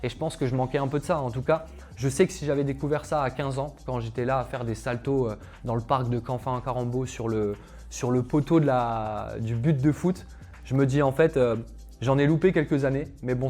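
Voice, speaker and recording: A man's voice: mid-range voice, fairly even intonation, a little fast, a little mellow, soft background music. A young man, a calisthenics coach, telling his personal story to camera in a casual, chatty way, with lots of fillers and asides to viewers. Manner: chatty, filler-heavy, addresses viewers directly.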